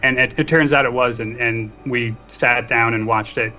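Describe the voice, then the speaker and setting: A man's voice: mid-range voice, fairly even intonation, moderate pace, sharp tone. A man chatting casually as a guest on a podcast. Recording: dull lo-fi mic, faint music behind.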